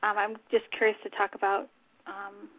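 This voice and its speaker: A woman's voice: mid-range voice, monotone, moderate pace, breathy edge. A woman with a scratchy voice hosting an unscripted talk show, chatting casually and informally. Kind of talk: unscripted casual radio chat. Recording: tinny phone-line internet radio audio.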